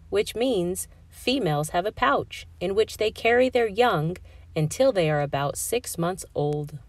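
A woman reading a children's picture book aloud, with expressive phrasing aimed at young children. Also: fairly low pitch, no room sound. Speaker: read-aloud storyteller.

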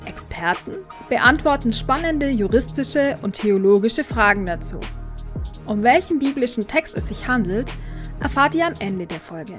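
A woman's voice: a little high, expressive, normal speed, faint music behind. A woman delivering a scripted introduction as a podcast host.

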